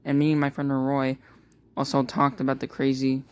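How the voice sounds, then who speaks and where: A grieving man talking to camera in a vlog, in a monotone, halting with many fillers and restarts. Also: medium pitch. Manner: monotone, uninteresting voice. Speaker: vlogger. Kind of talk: casual talk to camera.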